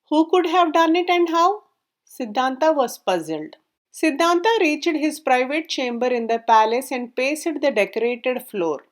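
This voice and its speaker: A woman reading a folk tale aloud as scripted storytelling narration. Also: high-pitched. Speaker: story reader.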